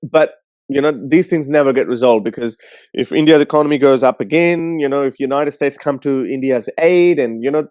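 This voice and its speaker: A man hosting a call-in show, giving casual, blunt and scornful commentary in an animated way. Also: mid-range voice, brisk, no echo, studio quality.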